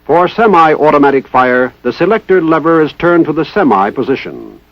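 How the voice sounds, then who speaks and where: Old muffled 60s army film narrator, american guy, kinda high pitched, very precise and formal. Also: sing-song, normal speed, no echo. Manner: formal, precise, methodical enunciation. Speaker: military training film narrator. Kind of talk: scripted instructional narration, 1960s training film. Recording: dull lo-fi 1960s film soundtrack.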